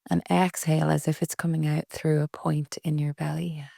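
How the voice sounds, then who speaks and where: A woman leading a guided relaxation meditation in a soft, breathy, soothing way with long pauses. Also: sits low, little pitch movement, dry recording, studio quality. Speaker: yoga nidra meditation guide.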